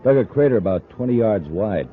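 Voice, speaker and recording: An American actor playing a businesslike space patrol major with a clipped, official manner in 1950s radio drama. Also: male voice, fairly low pitch, lively intonation, fairly quick, lightly acted. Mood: brisk, reporting. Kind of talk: 1950s radio adventure acting. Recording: tinny old radio recording.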